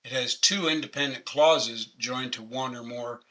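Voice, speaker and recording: Bright-voiced older Canadian man teaching. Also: medium pitch, moderate pace. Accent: Canadian English. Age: 60s. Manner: patient, conversational, self-deprecating. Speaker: webinar presenter. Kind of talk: casual online teaching. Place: home office. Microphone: webcam video call.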